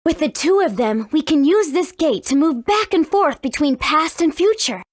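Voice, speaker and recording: A woman's voice: sits high, lively intonation, moderate pace, dry recording, clean studio sound. A woman voicing a confident, determined young heroine in a video game. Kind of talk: video game character acting.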